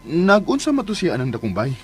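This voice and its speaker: A man's voice: on the high side, wildly swooping pitch, fast talker, a little hiss or hum. A man acting in a Philippine radio drama as an inquisitive man secretly tailing someone, watchful, with a Filipino accent. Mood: curious, polite. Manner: watchful, self-narrating, inquisitive.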